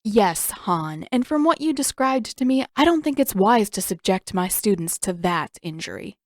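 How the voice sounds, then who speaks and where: A woman's voice: medium pitch, lively intonation, normal speed, dry recording, close to an everyday voice. A woman acts out a no-nonsense healer in an audio story. The figure is firm and dismissive, used to being in charge, and sounds close and dry.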